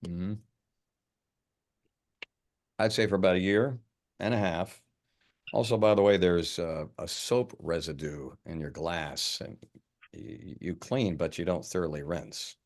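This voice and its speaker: A man reading the part of a blunt, fussy investigator in a film table read, halting and matter-of-fact. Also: low voice. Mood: blunt, dry.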